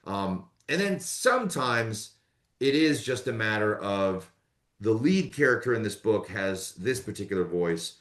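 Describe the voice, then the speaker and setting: A man's voice: fairly low pitch, unhurried, roomy sound. A man who narrates audiobooks, talking casually in an interview and rambling with lots of fillers. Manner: relaxed, rambling with many fillers.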